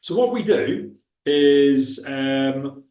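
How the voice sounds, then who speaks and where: A man with a Milton Keynes accent talks through a software demo in a loose, chatty way, often breaking off mid-sentence. His voice sits at a middle pitch. The sound is roomy and a little distant from the microphone. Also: lively intonation, very slow. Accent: Milton Keynes English. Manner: chatty, self-interrupting, thinks aloud while clicking. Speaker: recruitment trainer. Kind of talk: casual screen-share tutorial. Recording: roomy echo, speaker away from the mic.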